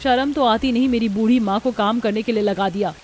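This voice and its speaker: A woman's voice: on the high side, a little fast, darkish tone, natural voice. A woman voicing a selfish, sulky grown daughter in a cartoon who talks in an accusing way.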